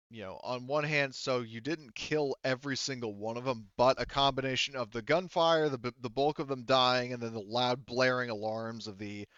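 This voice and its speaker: A man's voice: mid-range voice, fairly bright tone, clean tone, no room sound, muffled recording. A man narrating a tabletop game as game master, explaining casually and conversationally with lots of "you know" and "like". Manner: hedging, conversational, heavy use of "you know" and "like".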